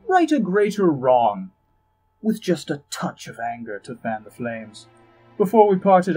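An actor playing an urbane, wry, scheming ambassador in a fantasy audio drama, droll, precise and pompous, with a husky voice. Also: male voice, mid-range voice, darkish tone, lightly acted, low-quality recording. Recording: music behind the voice.

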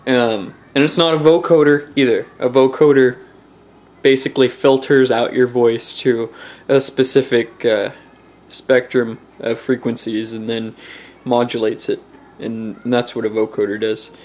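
A man's voice: mid-range voice, steady tone, unhurried, darkish tone. A young man giving a casual, slightly hesitant how-to explanation, thinking aloud, recorded indoors at home on a close handheld camera. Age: young adult. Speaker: DIY tutorial presenter. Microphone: handheld camera at close range.

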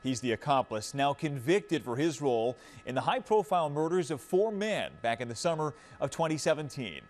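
A man's voice: fairly high pitch, animated, a little fast. An American man anchoring television news in a scripted delivery.

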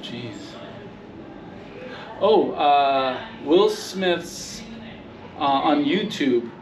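A man's voice: a little high, expressive, drawn-out words. A man being interviewed, chatting casually and hesitantly. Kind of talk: casual chat. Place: backstage dressing room. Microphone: camera across the room. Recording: dull, echoey, noisy distant camera mic.

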